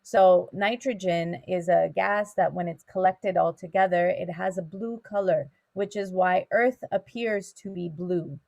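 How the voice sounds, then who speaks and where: A woman's voice: lowish voice, unhurried, gravelly, few pauses, darkish tone. A woman, a teacher from Canada, patiently answering students' questions step by step on a video call. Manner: patient, explains things step by step.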